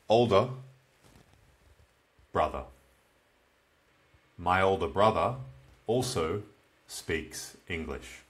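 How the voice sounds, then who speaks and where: A man in his twenties with an Australian accent, teaching beginners in very slow, simple, repeated phrases. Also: sits low, a bit bright. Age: 20s. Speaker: English teacher. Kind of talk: slow comprehensible-input lesson for beginners. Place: small plain room indoors.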